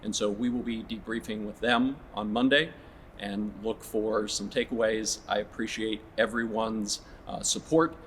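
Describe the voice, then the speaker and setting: A man speaking as a school administrator, making a formal public statement in a measured, businesslike way. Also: fairly low pitch, fairly even intonation, a bit bright, a little hiss or hum, poor audio.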